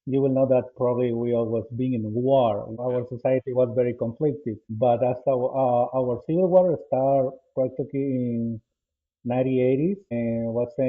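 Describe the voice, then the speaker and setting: A man in his forties from El Salvador with a Salvadoran Spanish accent, speaking English as a second language. He talks haltingly as a podcast guest, often restarting and repeating words. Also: mid-range voice. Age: 40s. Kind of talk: casual interview answers. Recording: tinny phone-line audio.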